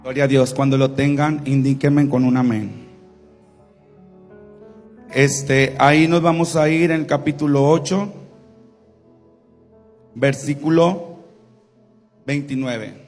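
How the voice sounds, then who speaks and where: A man's voice: medium pitch, takes it slowly, pauses often, darkish tone, faint music behind. A man in his twenties preaching in Spanish, leading the congregation and praying fervently with repeated invocations. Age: 20s. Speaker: guest preacher. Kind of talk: evangelical sermon and prayer.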